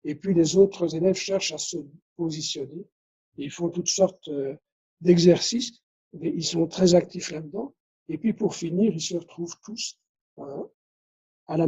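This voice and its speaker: A man's voice: on the high side, warm tone, husky, muffled recording. A man from Belgium whose first language is Dutch, giving a conference lecture, restarting phrases and adding tag questions. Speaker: conference speaker.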